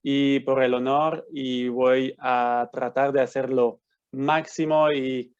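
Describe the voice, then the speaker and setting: Swiss male lawyer, non-native Spanish, hesitant delivery, muffled lo-fi video-call audio, mid pitch. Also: flat delivery, takes it slowly, smooth. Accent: Swiss, speaking Argentine-learned Spanish. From Switzerland. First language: not Spanish. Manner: hesitant, frequent restarts and fillers. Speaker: guest lecturer, lawyer. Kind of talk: online lecture. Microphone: computer mic on video call. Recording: slightly dull lo-fi sound.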